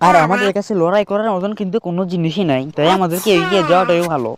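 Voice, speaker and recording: A man's voice: sits high, very fast, slight background noise, lightly acted. A man voicing a loyal, wary young adventurer with colloquial speech in a cartoon. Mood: agreeable. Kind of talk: amateur Bangla cartoon dubbing.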